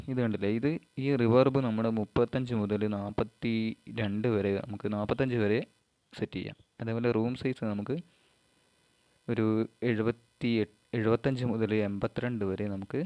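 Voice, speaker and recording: A man from Kerala, giving an informal step-by-step tutorial in halting, short phrases. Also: fairly low pitch, steady tone, darkish tone, highs cut off. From Kerala, India. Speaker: amateur vlogger giving an app tutorial.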